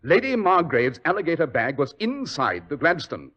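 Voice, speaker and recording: An actor playing a commanding detective in a 1940s radio drama, talking in a clipped, authoritative way. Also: male voice, on the high side, big pitch swings, moderate pace, a bit bright. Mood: brusque. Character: brisk commanding English detective, middle-aged man. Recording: dull old radio broadcast recording.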